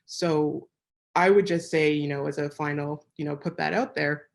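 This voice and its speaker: A woman who works as a journalist, offering measured, thoughtful remarks as a panelist at a conference. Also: low voice, fairly even intonation, vocal fry.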